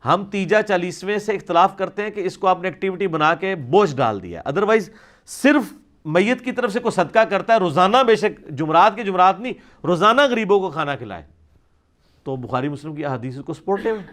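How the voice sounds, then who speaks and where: A man's voice: high voice, lively intonation, moderate pace, a bit bright. A man answers religious questions in an informal, explanatory lecture, reasoning conversationally as a scholar. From South Asia.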